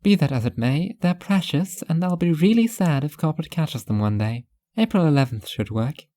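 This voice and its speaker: A woman's voice: deep voice, lively intonation, normal speed, dark tone, no echo. A woman playing a blunt, teasing workaholic wife with a morbid fondness, in an audio story. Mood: blunt, fond.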